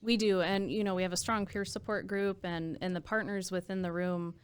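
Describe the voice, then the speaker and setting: A woman's voice: medium pitch, little pitch movement, brisk, smooth. An American woman talking casually and earnestly in an interview, with admiration, as an emergency dispatch supervisor. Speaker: emergency dispatch supervisor and trainer, podcast guest.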